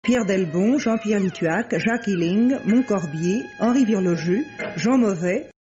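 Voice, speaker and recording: A woman's voice: mid-range voice, lively intonation, unhurried, mellow. A woman working as a radio announcer, formally and articulately reading scripted programme credits. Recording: dull vintage radio recording. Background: music.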